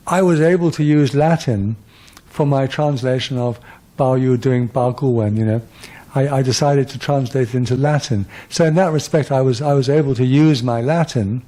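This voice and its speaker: An older man in his seventies takes audience questions after a lecture. His voice is mellow and dark, and he talks in a loose, discursive way with a dry, self-mocking humour. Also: medium pitch. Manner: discursive, wry and self-deprecating. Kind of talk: conversational lecture Q&A.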